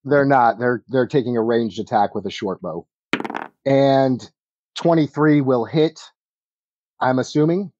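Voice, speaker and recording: A man's voice: mid-range voice, unhurried, pauses often, a little mellow, no echo, muffled recording. A man acting as game master, narrating tabletop combat turn by turn.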